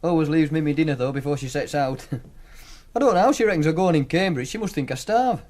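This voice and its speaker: An actor playing a cocky young student with a Northern English accent, wry and casual, in naturalistic radio drama. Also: male voice, on the high side, a little fast, darkish tone, lightly acted. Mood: breezy. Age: young adult. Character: Mark, cocky young student with a betting knack.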